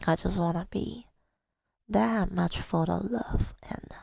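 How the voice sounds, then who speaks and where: A woman telling a personal story in ASMR style, softly and breathily, often near a whisper, with a halting, reflective delivery. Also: medium pitch, creaky, husky, no room sound, studio quality. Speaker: ASMR creator.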